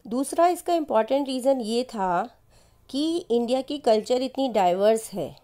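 A woman with an Indian accent explaining civics points one by one in a steady, explanatory voice-over for a slide lesson. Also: fairly high pitch, normal speed. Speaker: teacher.

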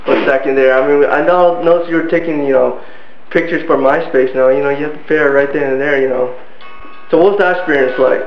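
Casting: young man from Vietnam, native Vietnamese speaker, rambling interviewer, slightly high voice, echoey lo-fi camera sound. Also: steady tone, fairly quick, faint music behind. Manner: rambling, full of "you know" fillers. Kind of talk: joking home-video interview. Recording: echoey lo-fi home video.

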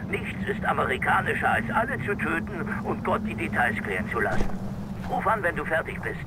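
Casting: brash, sardonic middle-aged American agent for a game, fairly high-pitched, recorded over loud background noise. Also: male voice, sing-song, brisk. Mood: sardonic, casual. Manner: sardonic, glib. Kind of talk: video game dubbing.

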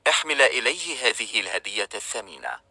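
Man playing learned king, direct, businesslike, low bright voice, dry sound. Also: big pitch swings, brisk, no echo, exaggerated voice.

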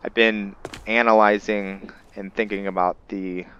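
A man's voice: fairly low pitch, flat delivery, a little slow, fairly bright tone, smooth, highs cut off. A man giving casual video game commentary with some roleplay, rambling as he thinks aloud. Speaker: let's play host.

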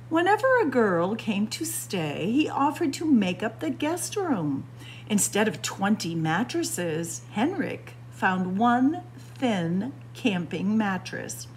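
A woman reading a children's picture book aloud with deliberate, expressive storytelling. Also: mid-range voice, low-quality recording. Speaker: storytime reader. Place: indoors, room with library bookshelves. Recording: faint background noise.

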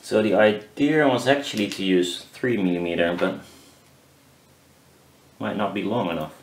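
A man giving casual, halting commentary while building something at a workbench, muttering as he thinks aloud. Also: on the low side. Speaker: DIY maker. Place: indoor workshop at a cluttered workbench. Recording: roomy echo, loud workshop noise, clicks.

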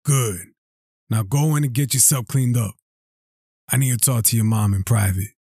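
A man playing an affectionate, teasing farmer father and husband in an audio drama, with a husky voice. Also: mid-range voice, moderate pace, warm tone, no room sound, studio quality. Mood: brisk, fatherly.

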